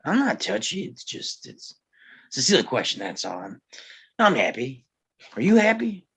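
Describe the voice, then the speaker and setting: An actor playing a guarded, gruff Old West lawman in a table read, speaking tersely and grudgingly with some hesitation. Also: medium pitch, huge pitch swings, moderate pace, fairly bright tone, exaggerated voice. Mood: defensive. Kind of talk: live table read acting of a western screenplay.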